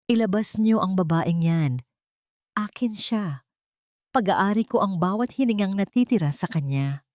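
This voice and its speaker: A woman's voice: mid-range voice, lively intonation, unhurried, a little mellow, no echo, studio quality, lightly acted. A woman voicing a menacing, possessive male crime boss with a taunting, domineering manner in an audio drama.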